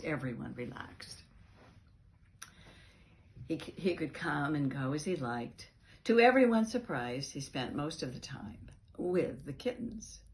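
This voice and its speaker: Slow, low-voiced woman reading a storybook. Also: slight background noise. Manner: expressive, emphatic on key words. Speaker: storyteller, reading organisation's founder. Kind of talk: children's picture book read-aloud with asides.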